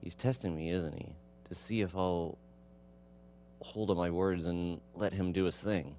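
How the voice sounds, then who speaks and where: Man voicing an earnest, low-voiced curious guy. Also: steady tone, measured pace, dark tone, a little hiss or hum. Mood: curious, musing. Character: earnest, curious man courting an android, inwardly calculating.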